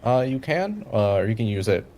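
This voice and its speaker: A man's voice: lowish voice, big pitch swings, brisk, a little mellow. A man acting as game master, casually explaining rules and scenes to players.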